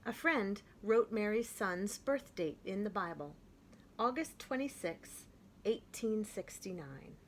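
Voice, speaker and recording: A woman reading a children's picture book aloud, with gentle, expressive and clear storytelling. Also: medium pitch, slow pace, slight background noise.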